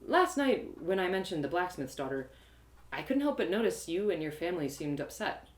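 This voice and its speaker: Young female adventurer, played by a woman: tentative, tactful, expressive, audio-drama heroine in a roomy space. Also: lowish voice, normal speed. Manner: hesitant, tactful, easily flustered. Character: young woman adventurer, eager, curious and awkwardly polite.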